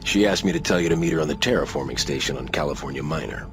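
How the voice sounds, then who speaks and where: A man's voice: extremely low pitch, rapid-fire, over music. An actor playing an easygoing male bartender in a video game cutscene, giving brief replies. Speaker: game character.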